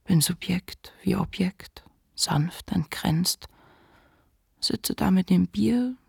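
An actress playing the inner voice of a depressed writer, weary and unflinching, in a measured literary monologue for a radio play. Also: female voice, lowish voice, gravelly, breathy edge, dry recording, studio quality, heavily acted. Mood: hushed reflection.

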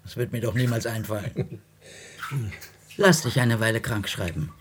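An actress playing an exasperated but caring middle-aged wife in a radio drama, with a dry, sardonic, scolding manner. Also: female voice, extremely low pitch, measured pace, slight background noise. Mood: dry, insistent. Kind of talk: naturalistic radio drama dialogue.

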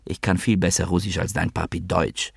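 A man voicing a worn-out, wry and amorous man with a brittle, hushed voice. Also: low voice, level tone, a little fast, gravelly, breathy edge, no echo, studio quality, heavily acted.

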